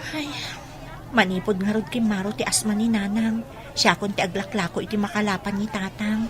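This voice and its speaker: A woman's voice: mid-range voice, steady tone, moderate pace, crowd noise, natural voice. A woman playing a dutiful, respectful young village daughter in an Ilocano radio drama, with an Ilocano accent. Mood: friendly.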